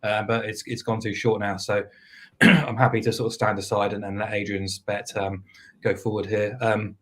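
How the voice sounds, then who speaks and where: A man talking through football bets on a casual podcast, a little hoarse and hesitant, with plenty of fillers. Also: on the low side. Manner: measured, hesitant with fillers, flat delivery. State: losing his voice. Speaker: football betting pundit.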